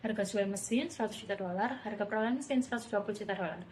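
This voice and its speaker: A woman's voice: medium pitch, very fast, a little mellow, a little hiss or hum. A young woman reading aloud steadily as a student presenter. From Indonesia. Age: young adult.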